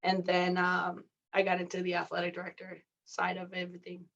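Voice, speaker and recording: A woman's voice: fairly low pitch, monotone, brisk, gravelly, fairly bright tone. Chatty woman coach, dull audio. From Mexico, raised in California. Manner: candid, chatty, many fillers like "like" and "you know". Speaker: guest, coach and athletic director. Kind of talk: casual interview conversation.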